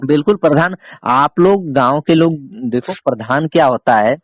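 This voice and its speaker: A man's voice: fairly high pitch, expressive, moderate pace, no room sound. A man interviewing someone as a reporter on a recorded phone call, pressing his questions emphatically and repeating himself. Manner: insistent, repetitive, emphatic questioning.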